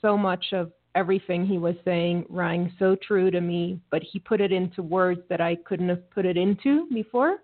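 A woman's voice: fairly low pitch, moderate pace, few pauses. Woman co-host on a tinny phone line. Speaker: co-host and facilitator. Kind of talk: casual online radio chat. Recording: phone-line call audio.

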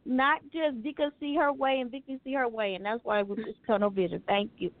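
A woman's voice: a little high, fairly even intonation, a little fast. A woman hosting a casual radio talk show, loose and joking as she steers the segments. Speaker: radio host. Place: radio studio. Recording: tinny phone-like radio audio.

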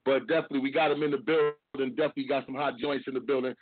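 A man's voice: mid-range voice, fairly even intonation, rapid-fire, dry recording. Male American hip hop radio interviewer, casual and slangy, dry, tinny band-limited audio. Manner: slangy, repeats phrases. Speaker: radio show host. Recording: narrow phone-like radio sound, dry.

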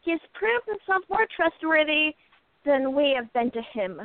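A woman's voice: sits high, sing-song, normal speed, dry recording. A woman playing a bold, resolute sleuth who speaks firmly, on a tinny phone-like line. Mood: determined. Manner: firm, determined.

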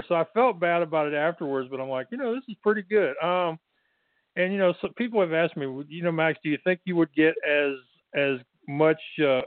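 A man's voice: on the high side, no room sound. A man from Texas with a Texan accent, a guest author chatting casually from his bedroom, rambling with fillers and restarts. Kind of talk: casual interview. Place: bedroom at home. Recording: tinny phone line.

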